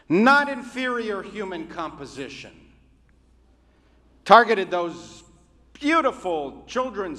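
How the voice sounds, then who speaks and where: Slow, bright-voiced man preaching in a hall. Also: high voice. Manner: measured, oratorical, with deliberate pauses. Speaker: rabbi. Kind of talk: sermon. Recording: reverberant hall.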